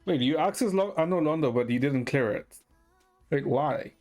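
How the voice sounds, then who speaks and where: A man's voice: a little high, animated, normal speed, a little mellow. Casual man thinking aloud over music. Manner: hesitant, thinking aloud, mild swearing. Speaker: game streamer. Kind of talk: casual live stream chat with viewers. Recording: music playing behind the voice.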